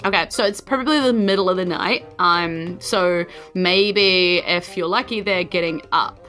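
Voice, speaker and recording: Woman game master chatting through a tabletop session, bright voice, faint music under it. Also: on the low side, a little slow. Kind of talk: casual tabletop game narration.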